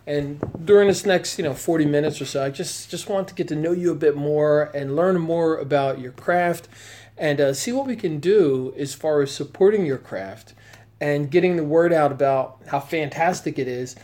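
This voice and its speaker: A man's voice: on the high side, moderate pace, dark tone. A man interviewing a guest in a studio, talking conversationally in an enthusiastic, encouraging way. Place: weaving studio, indoors.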